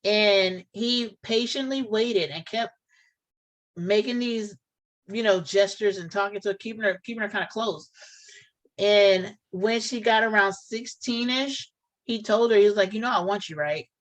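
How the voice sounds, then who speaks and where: Woman, mid pitch, casual chat, earnest, rambly, lots of restarts. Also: measured pace, rough recording. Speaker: co-host of a reaction channel.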